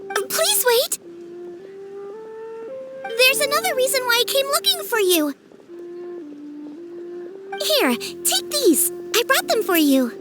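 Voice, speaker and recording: A woman's voice: extremely high pitch, big pitch swings, slow, pauses often, full character voice. A woman voicing an eager, kindly teenage girl cook in a dubbed fantasy game, chatty, earnest and full of exclamations. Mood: eager, warm. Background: music.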